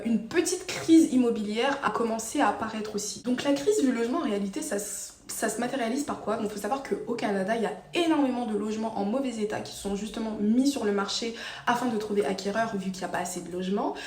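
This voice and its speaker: A woman's voice: a little high, darkish tone. A woman talking casually to her channel, telling her story with lots of fillers and restarts. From Ivory Coast. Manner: chatty, explains with many fillers and restarts. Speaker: vlogger. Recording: echoey room, voice a bit far from the mic.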